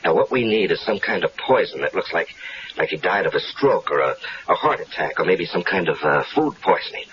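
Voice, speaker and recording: An actor playing a wary male lover drawn into a murder plot in a 1970s radio drama, hesitant and doubtful, with halting speech. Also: low voice, lively intonation, fairly bright tone. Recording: dull old radio broadcast with faint hiss.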